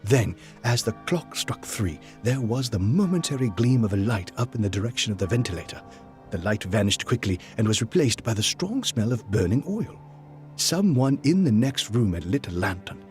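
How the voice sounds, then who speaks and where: An actor playing an earnest, apprehensive, loyal gentleman companion and storyteller in an audio drama, with formal dramatic delivery. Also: on the low side, lively intonation, normal speed, soft background music, close to an everyday voice. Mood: suspenseful narration. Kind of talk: audio drama acting and first-person narration.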